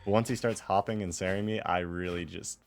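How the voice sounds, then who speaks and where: A man's voice: deep voice, steady tone, brisk, faint music behind. A man giving casual, off-the-cuff commentary on his own game replay in a rambling, wry and self-deprecating way.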